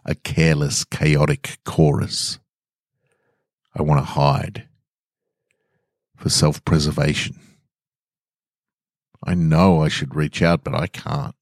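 Aussie guy podcast host telling stories, really low voice, dry sound with no room echo. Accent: Australian. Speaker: podcast host and story reader. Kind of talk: scripted narration of short stories with casual host remarks.

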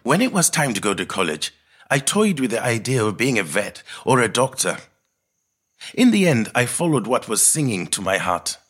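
An actor playing a reflective middle-aged Zimbabwean man telling his life story in a measured, earnest monologue. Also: medium pitch, sing-song, fairly bright tone, slightly rough. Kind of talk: scripted autobiographical monologue, storytelling.

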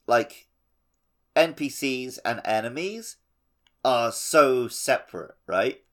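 A man's voice: lowish voice, a bit bright. A man co-hosting a podcast, chatting casually about video games with a wry, jokey manner. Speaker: podcast co-host. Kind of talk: casual video game discussion. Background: background music.

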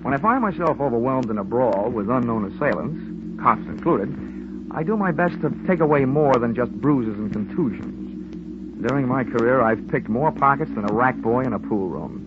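An actor playing a world-weary, hard-boiled insurance investigator in a 1950s radio drama, with wry, sardonic, slangy delivery. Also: male voice, mid-range voice, lively intonation, moderate pace, lightly acted. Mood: wry, matter-of-fact. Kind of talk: 1950s radio drama acting with first-person narration. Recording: muffled, noisy vintage radio recording.

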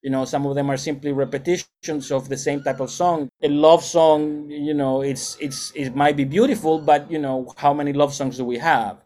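Middle-aged male music teacher, slightly high voice, measured and explanatory, restarts often. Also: steady tone, a little mellow. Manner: thoughtful, lecturing, with frequent restarts. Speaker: music educator and salsa band leader. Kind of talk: explanatory interview answers.

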